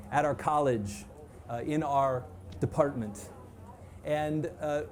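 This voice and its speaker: Mellow-voiced professor introducing a speaker. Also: male voice, a little high, slight background noise. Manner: friendly, with many filler pauses. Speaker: professor and moderator. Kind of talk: introductory academic talk. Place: university event tent.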